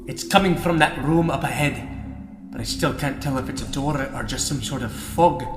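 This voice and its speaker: A man's voice: mid-range voice, normal speed, close to an everyday voice. A man playing a cautious, blunt mage adventurer in a fantasy audio drama, with old-fashioned phrasing. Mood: alert. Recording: echoey reverberant hall with music behind.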